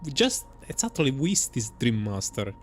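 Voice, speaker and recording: A man giving casual gameplay commentary, reacting in a halting, rambling, informal way. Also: medium pitch, very animated, faint music behind. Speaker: gamer playing and reacting.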